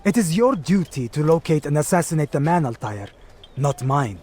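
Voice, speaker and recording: A bitter, higher-voiced assassins' bureau keeper. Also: male voice, very animated, moderate pace, a little mellow. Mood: bitter scorn. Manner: scornful, formal.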